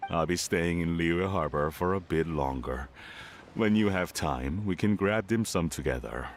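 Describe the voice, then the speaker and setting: An actor playing a reserved, proud father who talks in a gentle, halting way with awkward chuckles. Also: low-pitched, slight background noise. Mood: tender. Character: gruff older father, reserved but proud. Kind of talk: video game voice acting.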